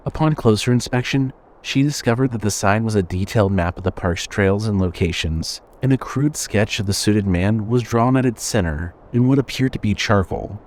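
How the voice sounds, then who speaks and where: A man's voice: fairly low pitch, normal speed, few pauses, darkish tone. A man reading scripted horror fiction as the narrator of an audio drama.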